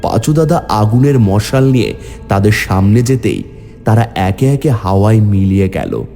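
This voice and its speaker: Man as theatrical, animated horror-tale villager. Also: normal speed, gravelly, faint music behind. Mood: grave narration. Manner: theatrical, rising into high pleading. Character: frightened, hungry village man telling his ghost tale. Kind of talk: dramatic first-person horror story narration.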